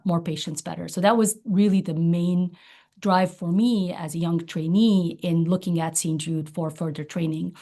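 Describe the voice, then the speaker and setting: A middle-aged woman, a doctor speaking semi-formally as a webinar guest in a measured, hesitant way, often searching for words. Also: lowish voice, warm tone. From Lebanon. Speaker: pediatric oncologist, guest speaker.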